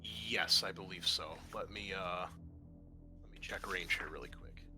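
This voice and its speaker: A man's voice: low-pitched, a little slow, bright, forceful tone, faint music behind. A man narrating a tabletop roleplaying game as game master in a hesitant, conversational way.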